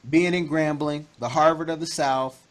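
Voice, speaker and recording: A man hosting an interview, chatty and advising, with jokes and loud laughs. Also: a little high, steady tone, normal speed. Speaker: interview host. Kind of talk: casual interview chat.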